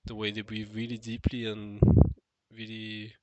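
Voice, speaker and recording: A man's voice: on the low side, completely flat delivery, measured pace, dull audio, heavy background noise. A man with a nasal, stuffed-nose voice musing alone to the camera in an unscripted vlog, hesitant, with many fillers and restarts. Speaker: vlogger. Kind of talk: unscripted solo monologue.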